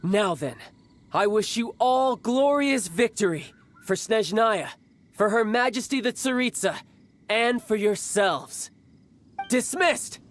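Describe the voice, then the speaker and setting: A cocky young commander. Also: male voice, sits high, slow pace, a little hiss or hum. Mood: commanding. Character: cocky young male military commander, playful, battle-hungry. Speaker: Harbinger commanding recruits. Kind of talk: game dialogue voice acting.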